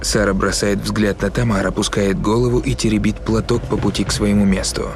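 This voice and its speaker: A man reading scripted narration as a voice-over in an even, matter-of-fact way, with crowd noise underneath. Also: on the low side, brisk.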